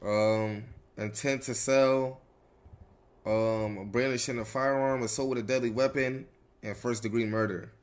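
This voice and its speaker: A man's voice: medium pitch, steady tone, unhurried. A man improvising game roleplay as a suspect in casual street slang, terse and defiant in manner. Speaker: streamer role-playing a suspect.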